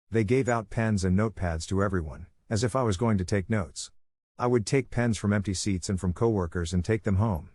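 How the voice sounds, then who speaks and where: Low-voiced man reading posts, dry. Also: darkish tone, no room sound. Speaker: narrator reading online posts. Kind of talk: scripted narration of reader posts.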